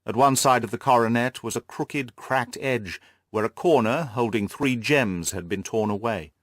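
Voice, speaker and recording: A man reading scripted literary narration for an audiobook in a measured storytelling manner. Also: medium pitch, no echo, clean studio sound.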